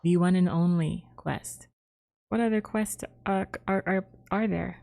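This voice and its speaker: A woman's voice: fairly low pitch, fairly even intonation, a little slow, creaky, dark tone. A woman streamer giving casual, playful and rambling live commentary while playing a game. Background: faint game music.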